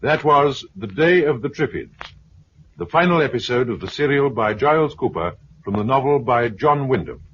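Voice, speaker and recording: A man's voice: mid-range voice, expressive, moderate pace, a bit bright, a little hiss or hum. A man with a British accent reading scripted credits as a 1950s radio announcer. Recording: dull 1950s radio recording.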